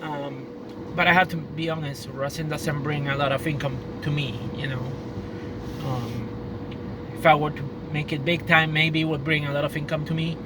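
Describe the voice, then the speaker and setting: A man's voice: fairly high pitch, flat delivery, moderate pace. Rambling Spanish-accented man, noisy background. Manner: rambling with frequent restarts, thoughts racing ahead. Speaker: vlogger. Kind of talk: casual monologue to camera. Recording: loud background noise.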